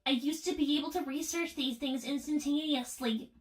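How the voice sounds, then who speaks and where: A woman playing a gentle robot girl new to being a person, speaking in stilted, precise, formal phrases. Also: high-pitched, moderate pace, natural voice. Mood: calm, earnest.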